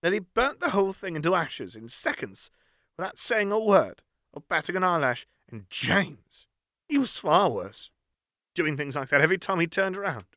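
A man voicing a tense, weary middle-aged aunt in an audiobook, speaking in a hushed, terse way. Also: sits high, big pitch swings, moderate pace, dry recording, studio quality, voice pushed up, heavily acted.

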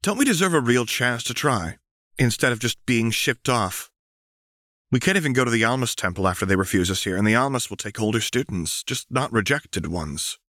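A man's voice: medium pitch, expressive, moderate pace, sharp tone, no echo, studio quality, pitched above the performer's own voice. A man voicing a frustrated teenage alien girl initiate in a fan fiction reading, earnest and pleading.

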